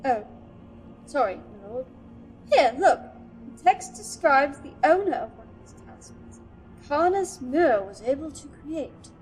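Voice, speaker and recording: A woman's voice: high voice, expressive, unhurried, darkish tone, soft background music, poor audio. A woman playing a nervous, eager, scholarly archive curator in a sci-fi audio drama, stammering and deferential. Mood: scholarly excitement. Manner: nervous, stammering, deferential, bossy to her assistant. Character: flustered, eager scholarly female archive curator serving a Sith. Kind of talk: fan audio drama acting.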